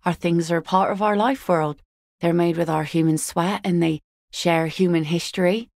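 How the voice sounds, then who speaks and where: A weary, sardonic net mender who has heard every joke. Her low, gravelly voice stays dry and teasing. The close studio recording has no room sound at all. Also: steady tone, moderate pace, a little mellow, dry recording. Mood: wry. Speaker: voice actress. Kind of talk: video game voice lines.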